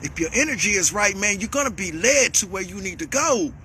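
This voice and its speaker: A man delivering a heated, repetitive monologue as a vlogger, calling out a rival and swinging up to shouts. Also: high-pitched, lively intonation, brisk, slight background noise.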